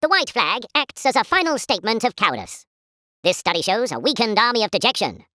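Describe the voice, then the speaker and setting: A woman's voice: fairly high pitch, very animated, fairly quick, pushed and bright, no echo, studio quality. A woman playing a pompous, chipper, patriotic British newsreel announcer in 1940s style, speaking formal theatrical commentary for a game. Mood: solemn, indignant.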